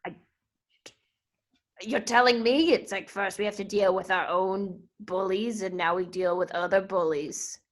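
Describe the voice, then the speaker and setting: A woman's voice: medium pitch, a little slow, natural voice. Woman acting brash, aggrieved monster newsboy. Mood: sulky. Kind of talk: improvised comedy sketch.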